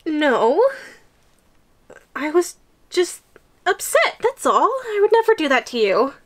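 A woman playing a lovesick, possessive yandere giantess in an audio roleplay, giggly and coaxing with a halting delivery. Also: high voice, sing-song, a bit bright, exaggerated voice. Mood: sweetly coaxing. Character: clingy, lovesick yandere giantess, sweet but menacing.

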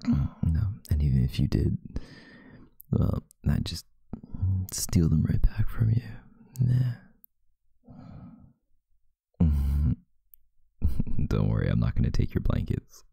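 A young man doing an intimate ASMR boyfriend roleplay as a sleepy, affectionate, teasing partner in bed, murmuring softly and drowsily close to the microphone. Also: extremely low pitch, very animated, slow, pauses often, no room sound. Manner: soft, drowsy murmuring, partly breathy and whispered. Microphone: close microphone, dry sound.

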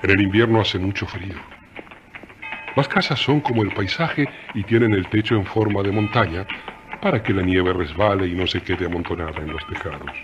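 Guy storyteller for a radio serial, chatty and folksy, dull recording w faint noise. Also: fairly low pitch. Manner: folksy, chatty, addressing listeners directly. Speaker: narrator. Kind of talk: conversational storytelling for radio drama.

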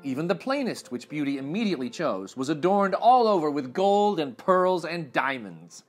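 A man in his thirties reading a fairytale aloud to children in expressive narration. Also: fairly high pitch, normal speed, faint music behind. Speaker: children's story reader. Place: small furnished room indoors.

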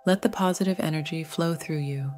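Low-pitched woman guiding a meditation. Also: soft background music. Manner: soothing, measured, gentle delivery. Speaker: meditation guide. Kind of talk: scripted guided meditation narration.

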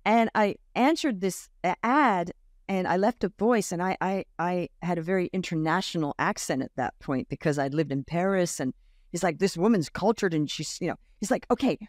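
A woman in her fifties, raised in Australia, telling stories as a podcast guest, lively and acting out the voices of others. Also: fairly low pitch, moderate pace, dry recording, studio quality. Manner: animated storyteller, acts out quoted voices, laughs often. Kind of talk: casual interview storytelling. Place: home room with bookshelf. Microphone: large studio mic on boom arm, video call.

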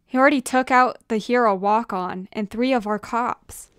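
A woman's voice: on the high side, moderate pace, fairly bright tone, clear, resonant tone, dry recording, studio quality, close to an everyday voice. A woman voicing an earnest, dutiful male superhero in a storybook, urgent but matter-of-fact.

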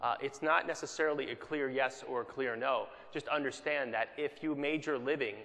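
Keynote speaker in a reverberant hall. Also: male voice, medium pitch, normal speed, pushed and bright. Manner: conversational, checks in with "right?" often. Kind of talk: conference keynote talk. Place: conference hall.

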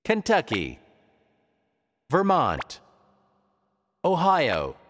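An upbeat cartoon game show host for a video game. His pitch is fairly high and leaps dramatically, with slow, emphatic delivery. The voice rings through a large hall. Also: huge pitch swings, fairly bright tone, full character voice. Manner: theatrical, emphatic announcing. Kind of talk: scripted quiz show presenting. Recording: large hall reverb, faint crowd noise.

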